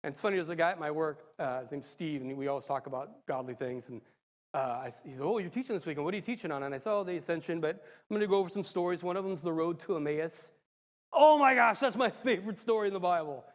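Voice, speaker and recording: A man preaching a sermon, a little raspy and hoarse from a cold, excitable and laughing as he talks. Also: on the high side, fast, in a large hall. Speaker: lay preacher.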